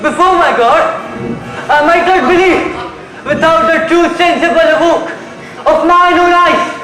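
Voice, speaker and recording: A man's voice: extremely high pitch, measured pace, music in the background. A young man playing an awed, earnest night watcher in school stage Shakespeare, declaiming his lines. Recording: echoey stage with faint noise.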